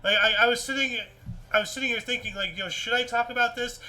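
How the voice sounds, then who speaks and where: A man with a high, very sharp and forceful voice hosts a casual news chat. He moves between reading stories aloud and rambling commentary, often circling back and repeating words in an animated way. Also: quick pace, rough recording. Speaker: host of a weird-news talk show.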